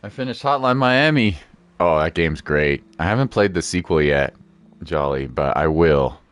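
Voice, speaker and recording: A man's voice: low-pitched, lively intonation, normal speed, dry recording. A man chatting casually on a game livestream, laid-back and playful, often repeating his phrases. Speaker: game live streamer. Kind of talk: casual livestream chat, commentary.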